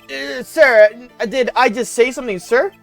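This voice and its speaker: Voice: mid-range voice, moderate pace, gravelly, fairly bright tone, soft background music, pitched above the performer's own voice. A streamer voicing a jumpy young male rookie police officer who nervously ends every line with "sir", while reading game dialogue aloud. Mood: flustered.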